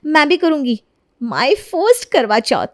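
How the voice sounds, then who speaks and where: A woman's voice: sits high, very animated, slow pace, voice pushed up, full character voice. A woman voicing an eager young newlywed daughter-in-law, sweet and coaxing, in an acted storybook tale. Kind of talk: acted Hindi storybook narration.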